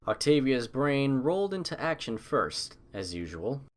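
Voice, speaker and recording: A man's voice: medium pitch, big pitch swings, unhurried. Man narrating a story.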